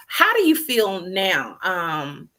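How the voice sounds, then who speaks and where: A middle-aged woman, hosting a lively, chatty livestream interview and playing to her viewers. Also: lowish voice, slow. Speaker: host.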